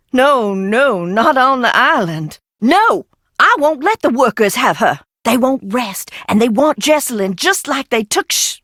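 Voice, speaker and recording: An actress playing an eccentric, mischievous and bitter heiress in a video game, theatrical and sardonic, swinging between singsong teasing and hushed menace. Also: female voice, a little high, dry recording, studio quality, full character voice. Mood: agitated, resentful. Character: eccentric, bitter, mischievous Southern heiress, secretive asylum graduate. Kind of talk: video game character acting.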